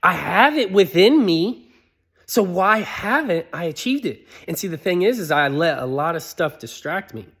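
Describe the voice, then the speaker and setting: A man's voice: sits high, animated, moderate pace, fairly bright tone, breathy edge. A man in his thirties giving a casual motivational talk, conversational, with many rhetorical questions and fillers. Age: 30s. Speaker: motivational speaker.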